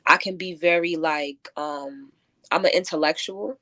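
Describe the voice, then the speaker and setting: A woman with a low voice speaks as a guest poet in a radio interview. She is reflective and halting, feeling her way through answers. The sound is close and dry. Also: fairly bright tone, no echo. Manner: thoughtful, halting, many filler likes. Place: close-miked radio show.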